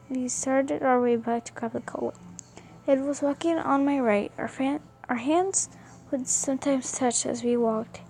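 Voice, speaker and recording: A woman reading a first-person story aloud as an amateur narrator, haltingly, often stumbling and rereading words. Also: high voice, dark tone. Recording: music behind the voice. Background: music.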